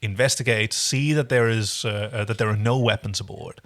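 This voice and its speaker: A man's voice: lowish voice, normal speed. A European man co-hosting a podcast, recapping a TV episode in an enthusiastic, digressive, casual way. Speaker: podcast co-host.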